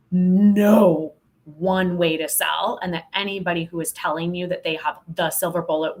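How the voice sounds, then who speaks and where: Lower-voiced woman, candid and emphatic. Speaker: podcast guest, sales trainer. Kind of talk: conversational podcast interview.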